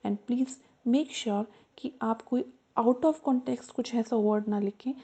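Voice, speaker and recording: A woman tutor giving an explanatory lesson to students, earnest and repeating her reminders, slowing down to spell out the key points. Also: fairly high pitch, fairly even intonation, dark tone, slightly rough, highs cut off. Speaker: exam-prep tutor.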